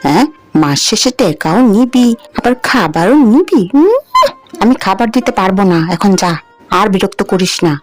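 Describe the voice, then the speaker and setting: A woman's voice: medium pitch, lively intonation, moderate pace, darkish tone, soft background music, close to an everyday voice. A woman voicing a stingy, scolding mistress of the house in a cartoon fairy tale, dismissive in manner. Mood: scornful.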